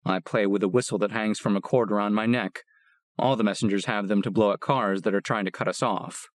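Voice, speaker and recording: Steady male audiobook narrator, dry sound. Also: fairly low pitch, brisk, no room sound. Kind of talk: first-person audiobook narration.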